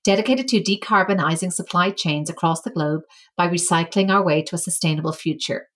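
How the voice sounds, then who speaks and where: Low-voiced woman reading sponsor message. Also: brisk. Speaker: sponsor announcer. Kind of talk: scripted sponsor read.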